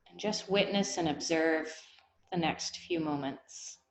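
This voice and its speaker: A woman guiding a meditation, speaking in an unhurried, gently instructive way with long pauses. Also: low voice. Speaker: meditation guide. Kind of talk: guided meditation, body scan. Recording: echoey room.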